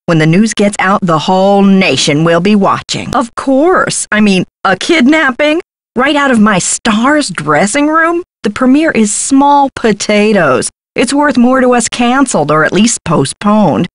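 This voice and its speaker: A woman's voice: mid-range voice, lively intonation, unhurried, no echo, close to an everyday voice. A woman voicing a brash, scheming Hollywood talent agent in a video game, glib and condescending, with slangy talk. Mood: scheming, enthusiastic.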